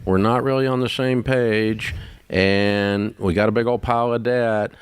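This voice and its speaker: A man's voice: on the low side, fairly even intonation, unhurried, clean tone, no echo. A middle-aged man hosting a call-in advice show in a folksy, upbeat, emphatic way. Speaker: radio show host. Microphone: studio microphone.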